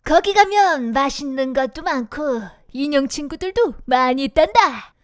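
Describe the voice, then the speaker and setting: A woman puts on a sly coachman from a children's storybook. He is a wicked, coaxing villain with a lively, high voice. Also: normal speed, no echo, studio quality.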